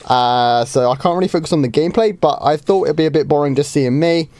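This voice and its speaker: Hoarse gamer chatting casually. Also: male voice, fairly high pitch, fast talker, a little mellow, no room sound. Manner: chatty, easygoing, with frequent ums and light laughs. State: slightly hoarse from a starting cold. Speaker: game streamer. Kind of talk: casual live stream Q&A.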